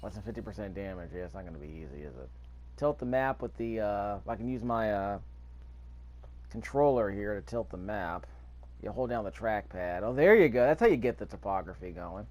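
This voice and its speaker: Low-voiced man muttering through a game. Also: lively intonation, fairly quick, a little mellow. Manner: thinking aloud, mildly grumbling, rhetorical questions. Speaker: video game player narrating a playthrough. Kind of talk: casual gameplay commentary.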